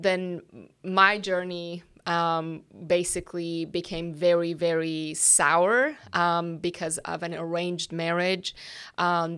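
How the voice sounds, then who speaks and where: A woman's voice: fairly low pitch, a bit bright, smooth. Earnest young woman, slight Persian accent. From Iran. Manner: earnest, hesitant with many ums. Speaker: guest. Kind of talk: telling her life story. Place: office.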